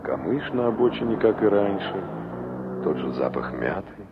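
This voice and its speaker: An actor playing a wistful young engineer in an old Soviet radio play, musing to himself and polite with strangers. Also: male voice, low-pitched, big pitch swings, moderate pace. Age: young adult. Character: young male engineer revisiting a remembered village, wistful. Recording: noisy, dull old radio play recording.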